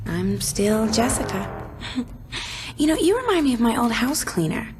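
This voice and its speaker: A high-voiced, catty young girlfriend of a gangster in a video game. She teases with false sweetness and very lively intonation. Faint music plays behind her. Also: normal speed. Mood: mocking. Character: gang boss's catty, teasing young girlfriend. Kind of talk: dramatic video game cutscene acting.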